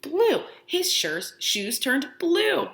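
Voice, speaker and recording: A woman teacher doing an expressive picture book read-aloud for young children, asking questions with encouraging, exaggerated delivery and pausing for answers. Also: sits high, vocal fry, reverberant, a little hiss or hum.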